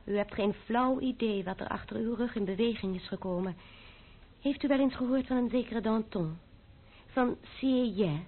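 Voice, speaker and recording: An actress playing a young aristocratic French mademoiselle in a Dutch radio play, formal, deliberate and pointed, foreboding and ironic. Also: female voice, fairly high pitch, husky. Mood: grave, ironic. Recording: old muffled narrow-band radio recording.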